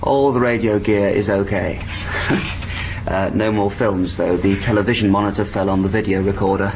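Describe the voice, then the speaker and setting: An actor playing an easygoing, matter-of-fact technician at a polar base in a 1980s radio thriller. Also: male voice, fairly low pitch, fairly even intonation, moderate pace, noisy background, close to an everyday voice.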